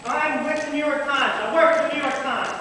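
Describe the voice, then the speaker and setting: A desperate, pleading reporter, played by a young man in an amateur play. His voice is very high and sharp, echoing from far off in a large hall. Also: fairly even intonation, fast talker, slight background noise. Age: young adult. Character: desperate, pleading newspaper reporter insisting on innocence. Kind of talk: amateur school stage acting.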